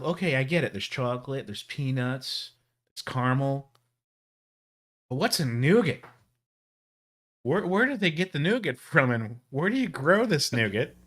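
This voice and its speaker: A man's voice: medium pitch, a bit bright. A man in his forties hosting a casual live stream, chatty and jokey as he thanks viewers for tips. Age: 40s.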